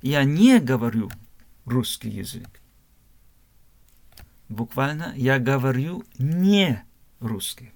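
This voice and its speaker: A man from Germany, teaching a language lesson slowly, spelling words out letter by letter and repeating phrases. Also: medium pitch, expressive, a little mellow.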